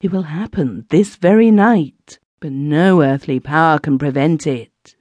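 A woman's voice: low voice, a little slow, darkish tone, dry recording, lo-fi sound. A reader voicing a terrified female spirit medium who pleads in frantic, gasping bursts, as in an audiobook. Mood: panicked. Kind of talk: audiobook character reading.

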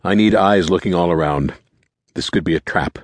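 A man's voice: low-pitched, animated, normal speed, husky, no echo. A man voicing a commanding, wary group leader in a thriller story, firm and terse.

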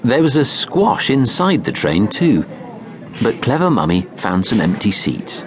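Slow, highly expressive male storyteller. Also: crowd noise. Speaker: audiobook narrator. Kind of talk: children's storybook narration.

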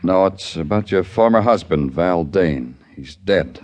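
An actor playing a hard-boiled police lieutenant who narrates a 1950s radio crime drama, with a dry, wisecracking delivery. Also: male voice, sits low, lively intonation, a little slow, a little mellow, dry recording. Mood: stern. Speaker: narrator and lead.

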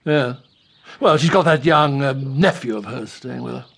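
A man's voice: medium pitch, normal speed, vocal fry, a bit bright, slightly rough. An actor playing a pompous older Englishman in a radio drama, hesitant and blustering, with clipped phrases. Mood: disdainful. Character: pompous older English husband, ex-colonial police type.

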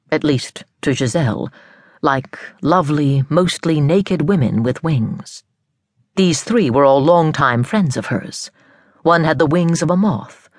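A woman narrating an audiobook of fiction in a measured, storybook manner with careful phrasing. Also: low-pitched, no room sound, clean studio sound.

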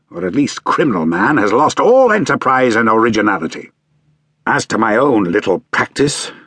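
A man voicing a brilliant, world-weary British gentleman detective in an audiobook, languid and theatrical with precise diction. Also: mid-range voice, breathy edge, dry recording, clean studio sound, full character voice. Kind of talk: audiobook character acting.

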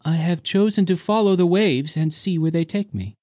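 A man narrator voicing a mysterious, whimsical ancient sea woman who seems young, speaking softly and precisely. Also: sits high, normal speed, no echo, clean studio sound, voice pushed up, lightly acted. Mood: wistful. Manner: soft, precise, lilting.

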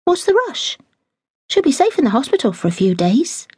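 A woman voicing an excitable young female servant, asking eager, breathless questions. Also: sits high, moderate pace, dry recording, studio quality, pitched above the performer's own voice. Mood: excited.

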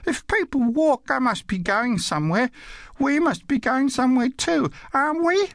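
Man voicing a high-pitched, eager little girl. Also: lively intonation, normal speed, gravelly, a bit bright, no room sound, clean studio sound, full character voice. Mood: curious, insistent. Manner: childlike, questioning. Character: eager, chattering little girl tagging after her father.

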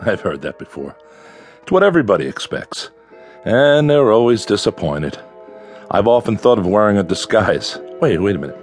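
A male psychiatrist with a deep voice and a measured, patient manner. He has a dry humor. It is a radio drama with soft music in the background. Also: big pitch swings. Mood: dry, attentive. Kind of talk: audio drama acting.

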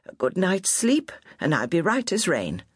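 A woman voicing a proud, obstinate little old lady who speaks sharply and curtly in a breathy near-whisper. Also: lowish voice, wildly swooping pitch, a little slow, no echo, clean studio sound, voice pushed up, full character voice.

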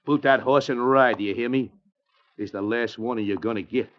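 An American actor playing a gruff, hostile mine guard in an old radio western, curt and threatening. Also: male voice, medium pitch, brisk, lightly acted. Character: gruff, hostile armed guard at a mining claim. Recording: muffled vintage radio recording.

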